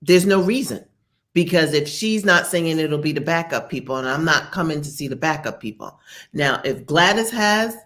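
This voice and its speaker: A woman, chatting candidly as a podcast co-host and acting out quotes. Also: normal speed. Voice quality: deep voice. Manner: candid, animated storytelling with acted quotes. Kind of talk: casual opinion chat.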